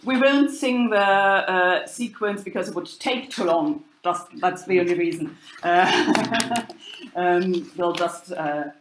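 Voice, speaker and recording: A woman lecturer giving an unhurried talk, pausing often with ums and uhs. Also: fairly low pitch, echoey room, slight background noise, poor audio. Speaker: university lecturer. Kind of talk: lecture on medieval drama.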